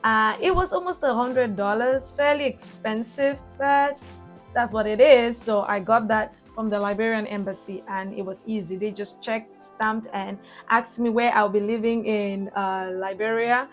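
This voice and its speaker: Cameroonian woman vlogger, soft music behind. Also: fairly high pitch. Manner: chatty, pauses and restarts with fillers. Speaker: travel vlogger. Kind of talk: casual travel recap.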